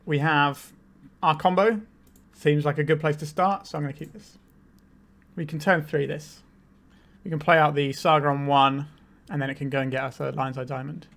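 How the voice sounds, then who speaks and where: A man with a slightly higher than usual voice talks through a card game in a relaxed, explanatory way, thinking aloud as he goes. He has a cold and sounds a little tired. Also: moderate pace, creaky, pauses often. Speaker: channel host, card game player. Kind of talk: casual gameplay commentary.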